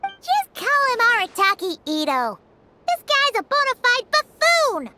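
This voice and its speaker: A woman voicing a tiny, excitable floating fairy girl in an anime game, with a squeaky, childlike voice. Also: unhurried, heavy background noise, full character voice. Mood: indignant. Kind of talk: anime game character acting. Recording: loud game music behind.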